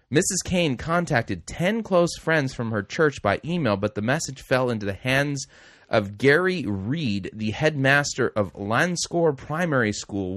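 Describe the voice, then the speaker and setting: A middle-aged male host talking casually on his program, trailing off and restarting with wry little asides. His voice sits at an ordinary pitch and sounds close and dry, with no room around it. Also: a bit bright, no room sound. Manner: rambling, self-correcting, wry asides. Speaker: radio host. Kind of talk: casual talk show commentary.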